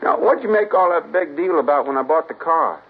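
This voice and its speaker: A man's voice: on the high side, animated, a little fast, slight background noise. A man playing a nervous, evasive young suspect from New Orleans, speaking with a hesitant Southern drawl in a 1950s radio drama. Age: young adult. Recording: old lo-fi radio broadcast, husky.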